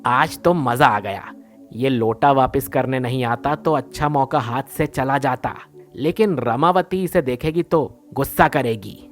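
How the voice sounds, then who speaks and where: A man's voice: medium pitch, unhurried, soft background music, close to an everyday voice. A man playing a greedy village thief in a devotional story, brash, colloquial and grumbling. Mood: scheming, pleased.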